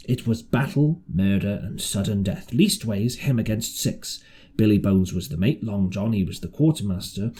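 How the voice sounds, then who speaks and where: A man's voice: fairly low pitch, dark tone, husky, full character voice. A man voicing a shrewd, half-crazed marooned seaman in an audiobook, with rustic, ungrammatical, repetitive sailor talk. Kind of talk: audiobook character voice.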